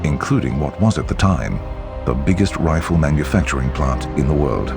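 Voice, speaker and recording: A man's voice: animated, moderate pace, creaky. A man reading scripted documentary narration. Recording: loud music and vehicle rumble behind. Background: music, truck engine noise.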